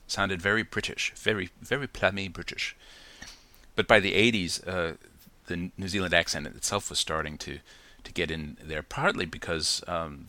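A man's voice: deep voice, bright, forceful tone, no echo. A man hosting a casual solo podcast from New Zealand, chatty and reflective, with many ums and restarts. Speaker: podcast host.

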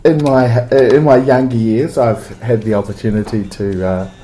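A man in casual podcast chat, husky and coughing from a cold, candid and giggly, telling stories with halting restarts. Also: medium pitch, steady tone, mellow. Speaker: podcast co-host.

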